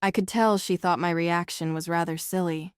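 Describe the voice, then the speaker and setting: A woman's voice: on the low side, steady tone, moderate pace, clear, resonant tone, no echo, studio quality. A woman reading scripted first-person novel narration as an audiobook narrator.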